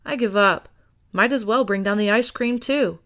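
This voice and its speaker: A woman voicing a tiny, grouchy loudmouth woman in an audiobook, bossy and exasperated but fond. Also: mid-range voice, normal speed, natural voice. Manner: huffy, bossy, complaining.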